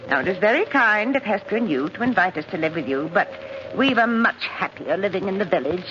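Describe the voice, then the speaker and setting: A crotchety old woman played by a radio actress speaks with sharp, emphatic swings. She is brisk and strong-willed, heard through a muffled, noisy old radio drama broadcast. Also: mid-range voice, expressive, lightly acted. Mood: firm. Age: older.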